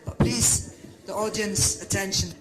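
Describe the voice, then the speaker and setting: High-voiced man moderating a debate. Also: steady tone, unhurried, very noisy. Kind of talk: moderating a formal debate, addressing speakers and audience. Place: debate event hall.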